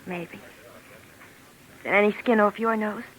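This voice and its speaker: An actress playing a guarded, defiant young American café owner, curt and defensive, in 1940s radio noir style. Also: female voice, medium pitch, steady tone, takes it slowly, a bit bright. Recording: noisy, hissy 1940s radio recording.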